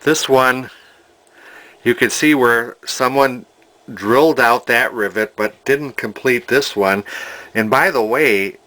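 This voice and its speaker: A man giving an informal hands-on repair demonstration in a halting way, thinking aloud as he explains. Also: mid-range voice, bright, forceful tone, poor audio. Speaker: hobbyist radio repairer, presenter. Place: indoor workshop at a workbench.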